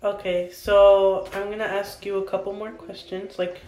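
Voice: mid-range voice, little pitch movement, moderate pace, darkish tone. A young interviewer asking questions hesitantly, with many ums. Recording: echoey room.

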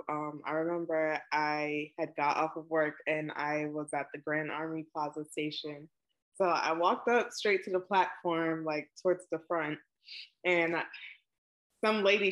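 A woman, answering questions in a relaxed oral history interview over a video call, with plenty of ums and likes. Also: low-pitched, poor audio. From Brooklyn, New York. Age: 20s.

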